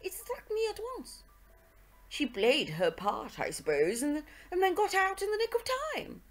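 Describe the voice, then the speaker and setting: A woman voicing an earnest, baffled English gentleman, a detective's loyal friend, speaking in puzzled exclamations in an audiobook. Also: high-pitched, huge pitch swings, normal speed, soft background music, pitched above the performer's own voice.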